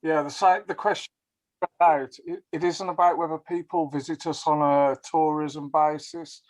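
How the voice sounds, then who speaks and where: A man's voice: a little high, fairly even intonation, normal speed, rough recording. A man giving his opinion in a casual, rambling way, often restarting his sentences. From England. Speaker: caller to a local discussion show.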